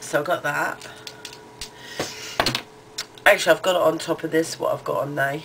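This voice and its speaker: A woman's voice: deep voice, steady tone, moderate pace. A middle-aged woman with a cold, sounding nasal and congested, chatting loosely through a makeup haul with plenty of ums. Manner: rambling, hesitant, lots of ums. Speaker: beauty vlogger. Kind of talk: casual makeup haul chat. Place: small cluttered bedroom, indoors. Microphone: webcam close to the face. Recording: noisy home webcam audio.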